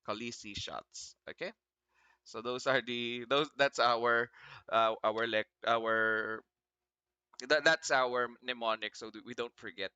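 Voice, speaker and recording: Male lecturer, Filipino accent, bright and clear with slight morning froggy edge, informal, encouraging, repeats himself. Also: medium pitch, no room sound. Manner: encouraging, repeats himself, asks students questions. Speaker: virology lecturer. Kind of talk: informal online lecture to students.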